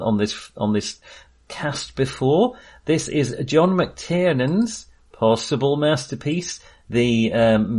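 A man hosting a casual film discussion, halting, informal and self-deprecating. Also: mid-range voice, a little mellow. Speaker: film podcast host.

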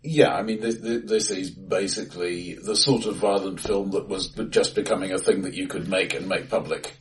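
Man, very low voice, dry understated manner, stammers a bit, distant from the mic. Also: fairly even intonation, moderate pace. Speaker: podcast co-host. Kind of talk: casual film discussion. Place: acoustically bright room, face to face.

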